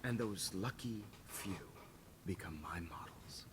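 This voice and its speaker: An actor playing a pompous, calmly menacing photographer villain in a video game, gloating softly over noisy captured audio. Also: male voice, low-pitched, slow pace. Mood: smug, lecturing. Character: smug, calmly menacing photographer kidnapping his students.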